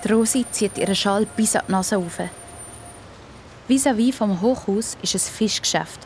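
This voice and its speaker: A woman's voice: medium pitch, normal speed, a little mellow, a little hiss or hum. A woman with a Swiss accent narrating a scripted children's story as part of a radio play.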